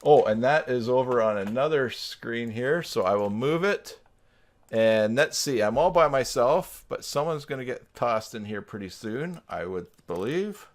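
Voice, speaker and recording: A man's voice: mid-range voice, lively intonation, measured pace. A man hosting a live stream over a video call, chatting casually with a joking, teasing manner.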